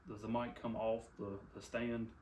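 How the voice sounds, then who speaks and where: A quiet-voiced man co-hosting a podcast in casual chat, sitting back from his mic. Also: mid-range voice, completely flat delivery, moderate pace. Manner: soft-spoken, quiet voice. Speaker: podcast co-host. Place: room at a high table. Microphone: desk mic a foot away. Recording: roomy, distant mic, faint hiss.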